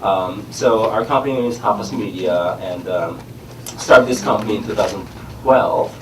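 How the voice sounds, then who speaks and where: Man, Korean accent, middle-aged, cold, halting with fillers, low-ish voice, echoey room. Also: far from the mic, noisy background. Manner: halting, many "you know" fillers. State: has a cold, tongue numbed by lozenge. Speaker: startup founder. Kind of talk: casual onstage chat. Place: conference stage in a large room.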